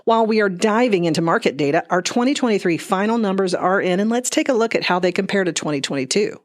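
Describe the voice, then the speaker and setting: A woman's voice: medium pitch, expressive, fast talker. A woman realtor delivering a scripted market report as a news segment.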